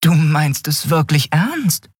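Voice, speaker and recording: A man's voice: a little high, sing-song, slow pace, breathy edge, no room sound, studio quality, pitched above the performer's own voice, heavily acted. A man voicing a small, feisty and sceptical winged female companion in a narrated fantasy story. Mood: doubtful.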